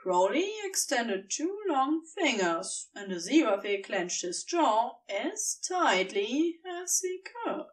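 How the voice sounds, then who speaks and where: Slow, expressive woman narrator, higher-pitched. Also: recorded from a distance. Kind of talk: scripted story narration.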